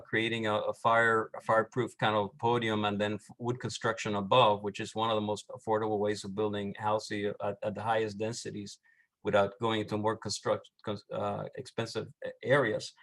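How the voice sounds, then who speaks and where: Halting Puerto Rican man presenting. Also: on the low side, fairly bright tone. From Puerto Rico. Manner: halting, many restarts and fillers. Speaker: architect panelist. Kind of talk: panel presentation.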